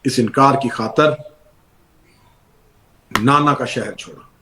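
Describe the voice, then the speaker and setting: A man's voice: medium pitch, slow, bright, forceful tone, slight background noise, poor audio. A man preaching, telling a sacred story in a declamatory, mournful sermon. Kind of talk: emotional religious sermon narration.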